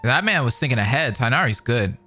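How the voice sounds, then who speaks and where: A man's voice: medium pitch, animated, fairly quick, sharp tone, faint music behind. A man giving casual game reaction commentary in short, offhand interjections.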